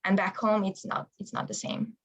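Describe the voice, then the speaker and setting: A woman's voice: medium pitch, little pitch movement, normal speed. A young woman from Hungary with a Hungarian accent, a student-athlete answering interview questions in English with many hesitant fillers. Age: young adult.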